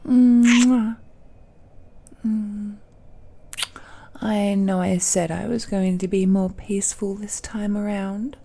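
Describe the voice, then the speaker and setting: A woman's voice: mid-range voice, fairly even intonation, extremely slow, gravelly, long pauses, warm tone, slight background noise. A woman voicing an ancient, possessive villainess in an intimate audio roleplay, teasing and condescending with smug, doting airs. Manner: languid, condescending, teasing, with sighs and hums.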